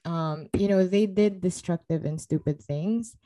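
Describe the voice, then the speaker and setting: A woman's voice: on the low side, measured pace, mellow. A young woman co-hosting a podcast, giving exasperated, opinionated takes in a casual book discussion. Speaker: podcast co-host.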